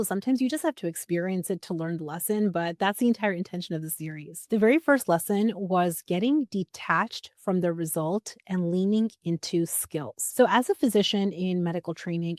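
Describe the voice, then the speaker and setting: A woman hosting her own podcast, talking solo with an upbeat, earnest and encouraging manner. Her voice is raspy because she is getting over a cold. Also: lowish voice, a little mellow, dry recording, clean studio sound. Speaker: podcast host.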